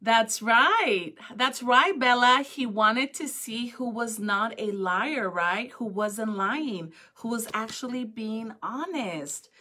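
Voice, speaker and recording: A woman in her thirties talking to young students as an educator, expressive and encouraging. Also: fairly high pitch, slow, hardly any pauses. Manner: expressive, encouraging, addresses children directly. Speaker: school educator reading to students. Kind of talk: read-aloud and chat to children. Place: small school office or classroom, indoors. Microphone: phone at arm's length.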